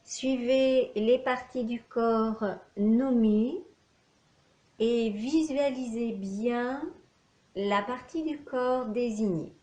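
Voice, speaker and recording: A woman leading a guided yoga nidra relaxation as an instructor, speaking in short instructional phrases with long pauses. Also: fairly high pitch, warm tone. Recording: echoey room, faint background noise.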